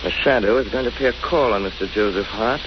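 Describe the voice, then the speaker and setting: An actor playing a composed gentleman detective with measured, authoritative, formal delivery in an old-time radio drama. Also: fairly low pitch, close to an everyday voice. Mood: deductive, determined. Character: composed, deductive gentleman detective, grimly determined. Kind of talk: 1930s radio drama acting. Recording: muffled, noisy old radio recording.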